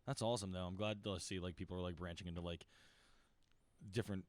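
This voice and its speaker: A man's voice: low-pitched, fairly even intonation, fast, no echo. A young man hosting a podcast in a laid-back casual chat, swearing often and saying "like" a lot. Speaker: podcast host.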